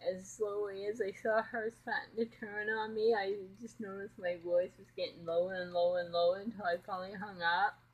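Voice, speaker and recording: Upset, husky-voiced middle-aged woman. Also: mid-range voice, fairly even intonation, fairly quick, hardly any pauses, poor audio. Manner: upset, rambling, keeping quiet so as not to wake others. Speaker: vlogger. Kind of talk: emotional personal confession. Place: home at night.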